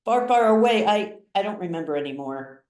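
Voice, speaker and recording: A woman voicing a shaken, evasive young son in a storybook reading, halting and stuttering. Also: medium pitch, animated, darkish tone, roomy sound, pitched above the performer's own voice, close to an everyday voice.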